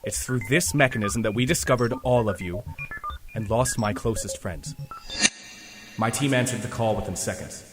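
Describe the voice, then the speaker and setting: A man's voice: fairly low pitch, moderate pace, loud music behind the voice, natural voice. A man playing an earnest, troubled young superhero team leader, commanding and melodramatic, in a fan audio drama. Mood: solemn explaining. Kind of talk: fan audio drama acting with first-person narration. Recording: long reverb, faint background noise.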